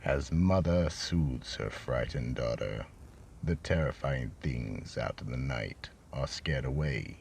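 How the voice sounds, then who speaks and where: An ominous horror storyteller, played by a man, extremely deep and full of gravel. He narrates slowly and theatrically for a radio mystery play. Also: animated, darkish tone, a little hiss or hum, heavily acted. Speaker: narrator and show host. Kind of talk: dramatic scripted horror narration.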